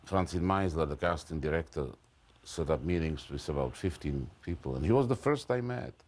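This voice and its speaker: A film director from Czechia with a Czech accent, speaking halting English as he recalls casting. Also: extremely low pitch, lively intonation, darkish tone. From Czech Republic. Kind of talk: retrospective interview.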